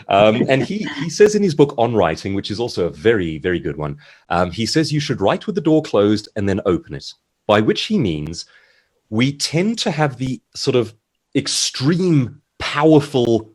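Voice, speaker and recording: Male guest author, low slightly husky voice, very animated intonation, articulate and emphatic advice-giving over a video call. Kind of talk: seminar interview, giving advice.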